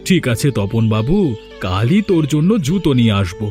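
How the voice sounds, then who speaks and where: A man's voice: mid-range voice, very animated, fairly quick, darkish tone, faint music behind, close to an everyday voice. A man voicing a kindly, reassuring village family man in a cartoon. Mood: warm, reassuring. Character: kindly, reassuring village husband, brother and uncle. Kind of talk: Bengali cartoon voice acting.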